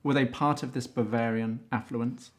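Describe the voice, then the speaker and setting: A man hosting a casual interview, chatty and quick to jump in with comments and laughs. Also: medium pitch, fairly even intonation. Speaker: interviewer and show host.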